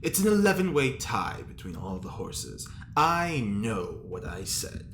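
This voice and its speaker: An actor in an audio drama playing a whimsical, flamboyant eccentric with a theatrical, drawn-out delivery. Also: mid-range voice, sing-song. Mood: grave, theatrical. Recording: roomy echo, clear background noise.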